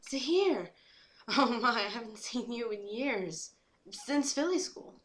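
A woman's voice: fairly high pitch, expressive, takes it slowly, fairly bright tone, voice pushed up. A woman voicing an effusive pony who gushes and exclaims. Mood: delighted surprise. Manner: gushing, exclaiming. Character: effusive pony greeting an old school friend. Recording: noisy home recording.

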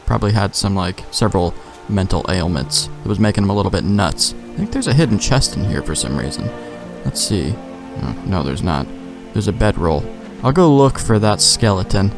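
Low-voiced man doing casual gameplay commentary. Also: sing-song, moderate pace, music in the background. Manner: laid-back, sweary, thinking aloud. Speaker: gamer, let's play host.

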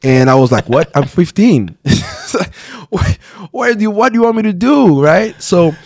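A man's voice: high voice, big pitch swings, normal speed, a little mellow, dry recording. A pastor in his thirties telling his own story in an interview, earnest, often restarting and checking in with "right?", on a close podcast mic. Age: 30s. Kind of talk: candid personal storytelling. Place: small podcast studio. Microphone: close studio podcast mic.

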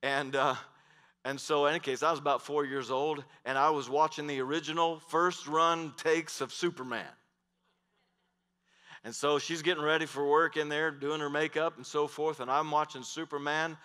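A middle-aged man preaching a sermon on a large church stage, switching between loud emphatic lines and hushed ones. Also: fairly high pitch, fairly even intonation, moderate pace, clean tone. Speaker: pastor. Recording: long hall reverb.

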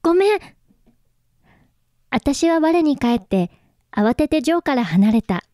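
A woman's voice: high-pitched, sing-song, measured pace, dark tone, no echo, clean studio sound. An actress playing a shy, lovelorn young woman, wistfully narrating and speaking in a radio drama. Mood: flustered. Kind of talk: radio drama acting with first-person narration. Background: faint instrumental music.

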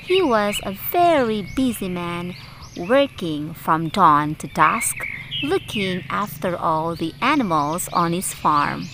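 Very slow, animated woman storyteller. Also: medium pitch, noisy background. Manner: deliberate, sing-song, addressing children directly. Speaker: teacher reading a storybook aloud for children. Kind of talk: slow, animated read-aloud for young children. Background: music.

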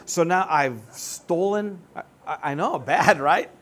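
A man in his sixties preaching a sermon, animated and joking, on a church stage. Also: fairly high pitch, a little slow. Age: 60s. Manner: animated, joking, gestures broadly while seated. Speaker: pastor. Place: church stage with band gear.

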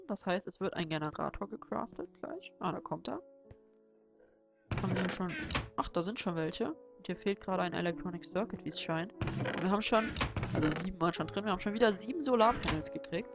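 A young man with a cold giving relaxed, rambling commentary over a game tutorial, thinking aloud as he goes. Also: high voice, a little fast, vocal fry, music in the background. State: has a cold. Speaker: Let's Play host. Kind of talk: casual gameplay tutorial commentary.

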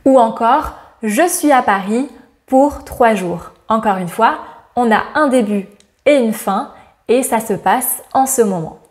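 A woman in her thirties teaches grammar straight to camera, her voice sitting a little high. She talks in a lively, smiling way and slows right down on each example sentence. It is a close lapel-mic recording in a bright indoor room. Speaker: French teacher. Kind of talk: scripted grammar lesson to camera.

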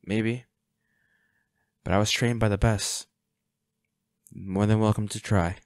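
A man playing a protective, defiant hunter boyfriend in an intimate roleplay audio drama, speaking unhurriedly with sighs and pauses. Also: lowish voice, steady tone, no echo, studio quality. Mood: coldly determined. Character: protective, defiant boyfriend, a monster hunter, tender but ruthless.

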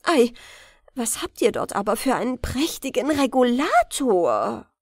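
A woman storyteller plays a snobbish society aunt from a fairy tale. She exclaims haughtily in a high, swooping voice, slowly and with great self-importance. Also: big pitch swings, no echo, studio quality, exaggerated voice. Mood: disdainful, gushing. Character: posh, snobbish aunt visiting from the capital.

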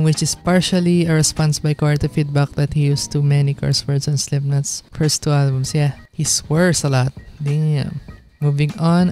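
A young man with a hoarse voice, reading song annotations aloud and reacting in a casual home-studio review. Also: fairly high pitch. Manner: reads aloud then comments casually, soft chuckles. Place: small home studio with drum kit. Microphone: studio mic on boom arm.

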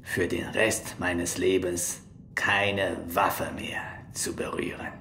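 An actor playing a solemn old Shaolin monk and mocking storyteller in a German radio play, often dropping into a whisper. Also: male voice, lowish voice, vocal fry, a bit bright, roomy sound, a little hiss or hum, full character voice. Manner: slow, dramatic, often whispery delivery. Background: music.

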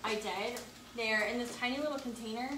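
A woman's voice: on the high side, fairly even intonation, fairly quick, recorded from a distance. A woman in her thirties bantering casually as she cooks at home for a vlog. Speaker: host of a home cooking vlog. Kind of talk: casual bantering chat while cooking. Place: home kitchen, indoors. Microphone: camera a few feet away. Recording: roomy kitchen with sizzling noise.

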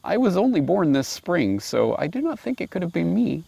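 A man's voice: high voice, sing-song, moderate pace, vocal fry, mellow, breathy edge, dry recording, pitched above the performer's own voice, full character voice. A man voicing a polite, innocent young lamb, meekly reasoning, in a storybook fable. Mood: polite, pleading.

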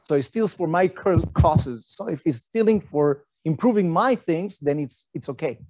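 A man's voice: a little high, lively intonation, moderate pace, a little mellow, a little hiss or hum. A middle-aged man, giving an academic lecture in a conversational way with rhetorical questions and fillers. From Argentina.

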